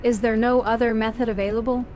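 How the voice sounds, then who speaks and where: A woman's voice: a little high, steady tone, quick pace, a little mellow, a little hiss or hum, close to an everyday voice. A woman voicing an elven heroine in a video game, direct and questioning. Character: elven woman warden, player hero. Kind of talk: fan-voiced game protagonist.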